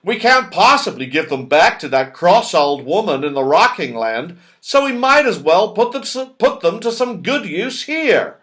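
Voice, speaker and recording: A man's voice: high-pitched, big pitch swings, normal speed, few pauses, fairly bright tone, voice pushed up. A man voicing a jolly, kindly magical big-faced man in a children's storybook, hearty and exclaiming. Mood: joyful.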